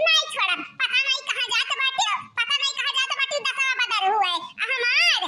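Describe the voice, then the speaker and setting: A woman voicing a frantic, complaining young village wife in a comic cartoon, with an Awadhi accent and a whiny, excitable manner. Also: very high-pitched, brisk, reverberant, heavy background noise. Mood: distressed pleading. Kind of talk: exaggerated comic cartoon acting.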